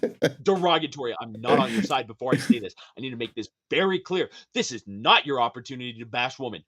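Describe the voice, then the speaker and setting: A man with a high-pitched, slightly feminine voice, ranting hard on a casual podcast, emphatic and profane. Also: lively intonation, fast, a crowd in the background. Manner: emphatic, profane, preachy rant with sudden high-pitched outbursts. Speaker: podcast guest.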